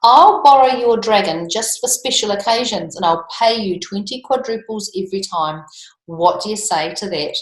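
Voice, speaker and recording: A woman voicing a gruff, demanding storybook king with a commanding, blustery manner. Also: medium pitch, normal speed, reverberant, lightly acted, rough recording. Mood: pleased, bargaining. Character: gruff, demanding, impatient king striking a bargain.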